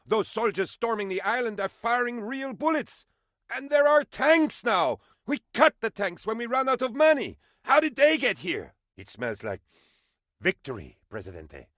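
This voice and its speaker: An actor voicing a bumbling, excitable and fawning aide to a dictator in a comic video game, with theatrical delivery. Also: male voice, very high voice, normal speed, no echo, clean studio sound, heavily acted. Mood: alarmed, then confident, scheming. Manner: theatrical, fawning, swooping between excitement and dismay. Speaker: game advisor character.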